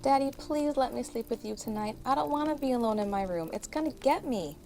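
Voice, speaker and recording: A woman voicing a little boy, high-pitched and expressive, who sounds timid and pleading. His voice rises and falls a lot. Soft music plays faintly under him. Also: fairly quick, vocal fry. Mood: pleading, scared. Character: small frightened boy, later eerily calm.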